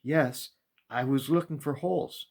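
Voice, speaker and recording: A man's voice: mid-range voice, unhurried, gravelly, darkish tone, pitched above the performer's own voice. A man voicing an eager, restless young boy from a storybook, speaking in breathless spurts. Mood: sheepish.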